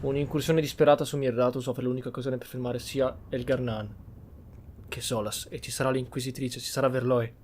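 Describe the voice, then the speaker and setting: A man's voice: medium pitch, little pitch movement, fast, a little mellow, a little hiss or hum. An Italian man giving casual commentary on a roleplay game playthrough. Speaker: game streamer.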